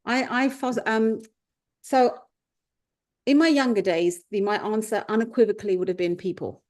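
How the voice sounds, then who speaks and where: A woman's voice: mid-range voice, moderate pace, darkish tone. Thoughtful Englishwoman in her fifties. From England. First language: Punjabi. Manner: thoughtful, circling back with restarts. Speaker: guest, life coach. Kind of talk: reflective podcast conversation.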